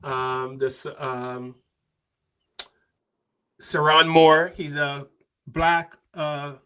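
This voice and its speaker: A man's voice: on the high side, little pitch movement, very slow, bright, forceful tone, clear, resonant tone. A man from Barbados hosting a podcast, talking casually and asking questions, repeating "why isn't that enough?". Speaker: podcast host. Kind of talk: casual opinionated discussion.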